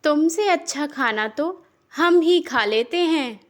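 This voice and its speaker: A woman voicing a reproachful, sharp-tongued older mother-in-law who scolds and taunts in a cartoon moral story. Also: sits high, lively intonation, takes it slowly. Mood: taunting.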